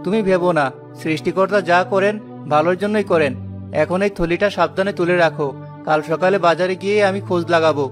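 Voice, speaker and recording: An actor playing a poor, honest, devout family man in a cartoon moral tale, speaking in an earnest, measured way. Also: sits high, music in the background, close to an everyday voice. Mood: firm, pious. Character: poor, honest porter, a devout, steadfast husband and father.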